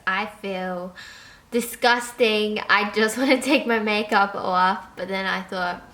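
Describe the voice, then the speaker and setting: Slow-talking candid young woman, swearing. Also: medium pitch, fairly bright tone, reverberant, a little hiss or hum. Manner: rambling, candid, swears freely, trails off. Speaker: vlogger. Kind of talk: unscripted confessional vlog. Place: her bedroom.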